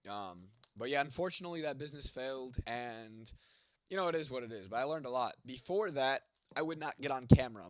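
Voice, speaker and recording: A congested, slightly hoarse man streams live and chats loosely with his viewers, dropping curse words and plenty of "um"s. His voice sits at an ordinary middle pitch. The sound is close and dry. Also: a bit bright, no room sound. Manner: laid-back, frequent swearing and filler words. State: congested, losing his voice, coughing. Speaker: live-streaming content creator. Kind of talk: casual livestream chat, reading viewer comments aloud.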